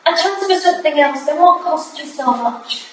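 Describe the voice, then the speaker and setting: Woman playing an earnest high-voiced young protégée. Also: animated, moderate pace. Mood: earnest concern. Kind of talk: staged drama reading. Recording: echoey hall, distant mic, faint noise.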